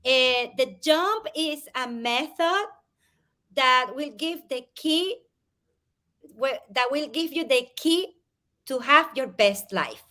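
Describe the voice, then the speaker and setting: High, bright woman. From Spain, raised in Venezuela. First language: Spanish. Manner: animated, expressive, quick to laugh. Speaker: guest life coach. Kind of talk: live interview, explaining a method.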